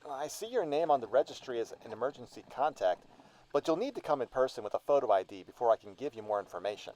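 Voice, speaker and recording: A man's voice: medium pitch, lively intonation, fast talker, a little mellow, natural voice. A man playing a formal, businesslike storage company employee on a phone call in an audio drama. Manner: formal, procedural. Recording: dull, band-limited phone-call sound.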